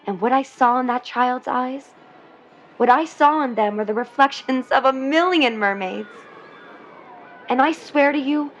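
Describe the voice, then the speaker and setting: A woman's voice: on the high side, a bit bright, rough recording. A woman playing an earnest, dreamy young mermaid in love, in a 1950s period audio drama, with wistful, lyrical delivery. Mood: wistful, enchanted.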